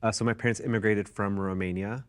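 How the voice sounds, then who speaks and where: A man's voice: lowish voice, fairly even intonation, fast talker, clear, resonant tone. A man from the United States sharing a personal story in a casual class discussion, candid and rambling. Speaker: class participant.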